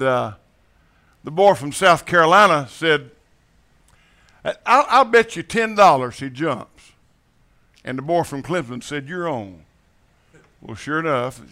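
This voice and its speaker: A man from Mississippi with a Southern accent preaching as a guest. He tells folksy joke stories to a congregation, halting and repeating words and chuckling often. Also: on the high side, bright, forceful tone. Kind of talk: folksy storytelling sermon with jokes. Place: church sanctuary with congregation.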